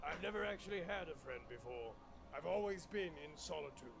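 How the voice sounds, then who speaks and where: A creaky, grandiose evil pony villain. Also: male voice, on the high side, expressive, brisk. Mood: mock sorrowful. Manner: grandiose, theatrical, scornful. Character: arrogant villain mare, an evil dark pony tyrant. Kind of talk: parody cartoon dub. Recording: music under the dialogue.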